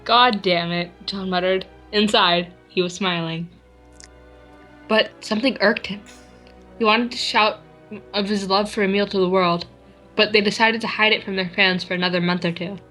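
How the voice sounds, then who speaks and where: Woman, bright voice, casual dramatic reading, giggly, breaks off to comment, faint music behind. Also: medium pitch, fairly even intonation, moderate pace, rough recording. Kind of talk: casual dramatic reading of fan fiction with friends.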